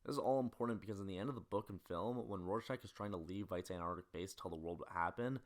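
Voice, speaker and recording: Fast-talking low-voiced man narrating. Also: slight background noise. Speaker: video essayist. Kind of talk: scripted film-analysis narration.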